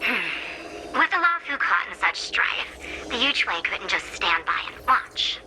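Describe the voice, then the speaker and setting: A woman's voice: medium pitch, big pitch swings, measured pace, bright, forceful tone, slight background noise, exaggerated voice, low-quality recording. A woman voicing a playful, knowing seer strategist in a video game, with a polite, teasing and theatrical delivery.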